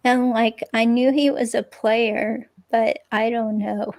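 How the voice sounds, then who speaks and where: A woman plays a hurt ex-girlfriend answering police questions in a dramatic reading. Her voice sits a little high and creaks often. She talks slowly, rambling and hesitating as she goes. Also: little pitch movement, a little mellow. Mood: wistful, sheepish. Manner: hesitant, rambling, filler-laden. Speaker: reader in a dramatic reading of an interview transcript. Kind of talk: conversational dramatic reading of a transcript.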